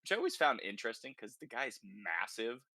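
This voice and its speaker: Bright-voiced man chatting on a podcast. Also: medium pitch, expressive, brisk, no room sound, studio quality. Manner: informal, conversational, thinking aloud. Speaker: podcast host. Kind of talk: casual gaming podcast chat.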